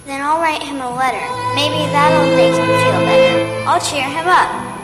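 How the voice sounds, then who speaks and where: An earnest little girl acted by a female performer in an old-time radio drama. Her voice is high and tender-hearted. It echoes in a big hall. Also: a little slow, over loud music. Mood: earnest, caring.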